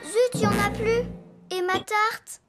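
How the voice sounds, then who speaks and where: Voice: extremely high pitch, fairly even intonation, measured pace. An actor voicing an impulsive, overconfident little girl in a children's cartoon, with music playing behind. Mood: absorbed. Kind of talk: animated children's cartoon dub.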